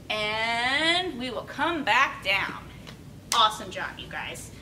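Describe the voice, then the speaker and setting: A young woman with a bright, fairly high voice guides small children through yoga poses. She gives simple, encouraging directions while demonstrating. She is recorded from across the room with a low rumbly noise behind her. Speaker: children's yoga storytime instructor. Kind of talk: instructional talk for young children. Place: small indoor room with padded steps. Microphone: camera several metres away. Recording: distant camera mic, rumbly background noise.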